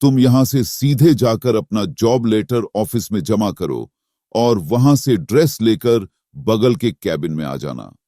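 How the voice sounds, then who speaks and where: A man plays a calm male asylum doctor from a cartoon horror tale. He gives instructions in a measured way with a low, warm, dark voice and lively intonation. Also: no room sound, clean studio sound. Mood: instructing.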